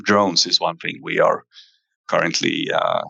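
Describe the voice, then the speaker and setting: A man giving a company investor presentation in a halting way, pausing often with fillers. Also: deep voice, creaky, bright, forceful tone, no room sound, lo-fi sound. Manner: halting, hesitant delivery with frequent fillers. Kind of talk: investor earnings presentation, semi-scripted.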